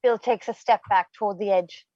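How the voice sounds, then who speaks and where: A woman's voice: medium pitch, moderate pace, mellow, dry recording, dull audio. A woman narrating scripted stage directions for a play reading.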